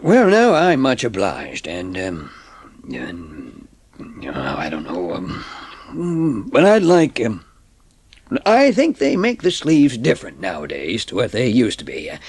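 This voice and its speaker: A man playing a shy, kindly old farmer in an audiobook dramatisation, halting and hesitant, with a husky voice. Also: mid-range voice, a little mellow, no echo, exaggerated voice.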